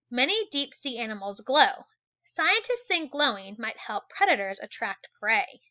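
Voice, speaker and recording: Female teacher for children's nonfiction read-aloud. Fairly high, bright, expressive delivery with chatty asides; slightly dull recording. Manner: animated, exclaiming and wondering aloud for young listeners.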